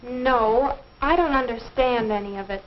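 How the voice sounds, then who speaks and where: A woman's voice: fairly high pitch, unhurried, slight background noise, natural voice. An actress playing a naive, lovestruck eighteen-year-old girl with earnest, girlish delivery in a 1950s instructional film. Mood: naive, sure. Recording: dull 1950s film soundtrack.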